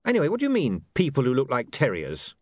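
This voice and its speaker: A man voicing a scruffy, streetwise male talking dog with a high, brash voice. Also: wildly swooping pitch, fast, a little mellow, dry recording, studio quality. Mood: grudging.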